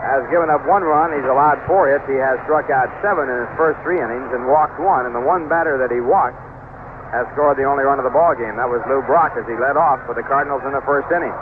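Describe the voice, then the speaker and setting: A man's voice: mid-range voice, fast. A man with an American accent calling baseball play-by-play in the style of 1970s radio. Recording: noisy, tinny old AM radio broadcast.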